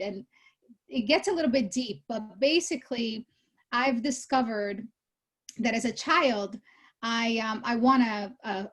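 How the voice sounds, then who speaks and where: A woman in her thirties, born in Brazil, talks through her story as an interview guest. Her voice sits fairly high, and she speaks in an animated, conversational way. She often stops to correct herself mid-sentence. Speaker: guest, real estate CEO. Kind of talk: casual interview chat.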